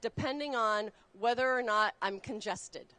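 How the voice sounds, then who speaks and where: A woman chef raised in Texas, explaining a recipe step by step while cooking on a live show, chatty and quick to laugh. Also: on the high side, sharp tone. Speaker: guest chef. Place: studio kitchen.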